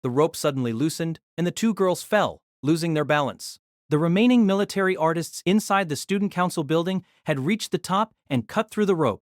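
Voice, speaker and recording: A woman's voice: fairly low pitch, lively intonation, a little fast, no room sound, clean studio sound. A woman narrating a novel in a scripted, dramatic storytelling style.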